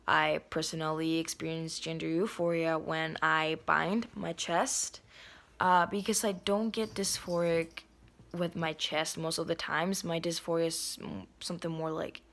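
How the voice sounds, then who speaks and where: A young adult nonbinary vlogger talks casually to the camera at home. The voice is a little hoarse and raspy, and the talk is earnest, full of ums and likes. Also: medium pitch, fairly bright tone. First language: Spanish. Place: indoors at home.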